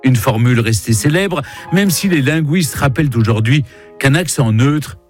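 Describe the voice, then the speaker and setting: A man's voice: medium pitch, expressive, moderate pace, darkish tone, soft background music. Wry man telling language history. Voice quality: playful, wry delivery. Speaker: radio chronicle host. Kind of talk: humorous scripted history chronicle.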